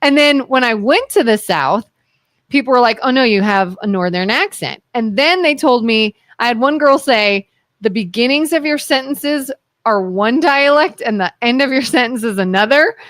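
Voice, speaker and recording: A woman from Ohio co-hosts a casual talk radio show and tells stories with animated, sing-song delivery, slipping into the voices of the people in them. Her voice sits a little high and swings widely in pitch. It is recorded close and dry in a studio. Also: normal speed, no echo. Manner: animated storyteller who acts out the voices in her anecdotes. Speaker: co-host.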